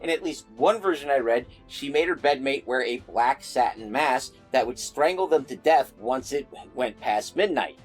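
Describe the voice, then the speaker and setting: A man's voice: mid-range voice, expressive, normal speed, few pauses, husky, soft background music. A man narrating folklore in a casual storytelling style, with wry joking asides.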